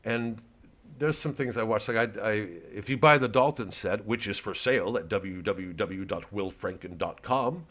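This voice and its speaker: A man with an American accent and a lowish voice delivers a casual comedian's monologue. He wanders from one aside to the next and swears freely, as if chatting straight to his followers. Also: normal speed, a bit bright. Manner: rambling, digressive, profane. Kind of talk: casual vlog monologue to fans.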